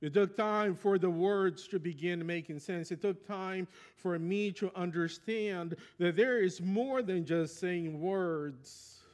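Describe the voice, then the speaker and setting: A man's voice: high voice, slow pace, hardly any pauses, darkish tone. A man from Brazil with a Brazilian Portuguese accent, a native Portuguese speaker, preaching a sermon in a reflective, conversational storytelling way. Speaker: pastor.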